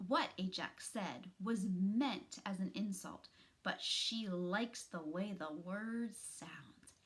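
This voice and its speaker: A woman in middle age reads a children's story aloud. Her delivery is animated and unhurried, with a bright edge to the voice. It is recorded in a small room at home. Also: medium pitch. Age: middle-aged. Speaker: children's story reader, teacher.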